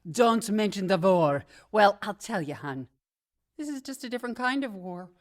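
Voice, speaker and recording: A woman's voice: medium pitch, animated, normal speed, natural voice. A woman acting a sarcastic, wisecracking career woman who has just been fired, in a comedic radio drama. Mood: indignant sarcasm.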